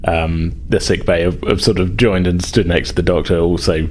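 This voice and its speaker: A man's voice: low-pitched, fairly even intonation, normal speed, darkish tone, slight background noise. A man improvising scene narration as game master, in a hesitant, conversational way.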